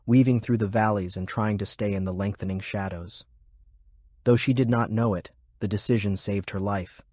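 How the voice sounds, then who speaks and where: Audiobook narrator: male, lowish pitch, measured descriptive fiction read, dry sound. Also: a little mellow, dry recording.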